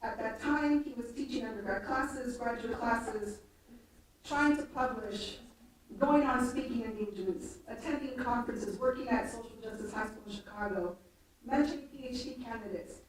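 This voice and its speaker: A young adult woman reading a prepared introduction speech in a measured, formal way. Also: on the high side. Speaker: introducer of a keynote speaker. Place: large room at a live event. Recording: very echoey room, distant mic, faint noise.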